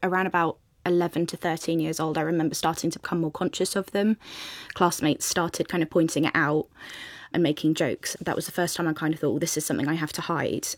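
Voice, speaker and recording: A woman's voice: low-pitched, no room sound. A young woman answering interview questions in a reflective way, with hedging fillers. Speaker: guest.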